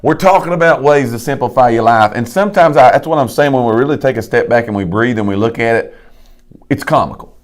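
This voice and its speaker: A man's voice: medium pitch, fast. A man co-hosting a live talk show, folksy and emphatic, full of rhetorical questions. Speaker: co-host of a live real estate talk show. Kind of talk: casual chat.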